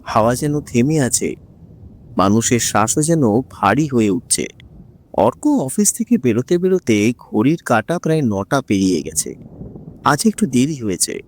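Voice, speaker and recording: A man's voice: mid-range voice, very animated, a little hiss or hum. Man narrating horror in a swooping voice. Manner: suspenseful, drawn-out dramatic delivery. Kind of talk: scripted dramatic horror narration.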